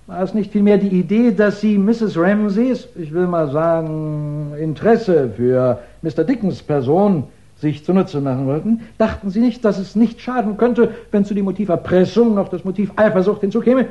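An actor as higher-voiced, shrewd, courteous police chief. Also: male voice, dark tone, close to an everyday voice. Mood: ironic, pressing. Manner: deliberate, ironically polite, probing, with musing pauses. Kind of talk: 1960s German radio crime drama acting. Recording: dull 1960s radio drama recording.

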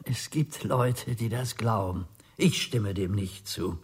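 Husky, timid little undertaker. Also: male voice, fairly low pitch, exaggerated voice. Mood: meek. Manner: halting, deferential, earnest. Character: fussy, timid, obsequious little male undertaker. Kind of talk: German radio play acting.

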